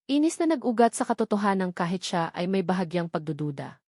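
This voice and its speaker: A woman's voice: medium pitch, very animated, a little fast, a little mellow, dry recording. Woman narrating a dramatic love story, dry sound. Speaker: narrator of romance stories.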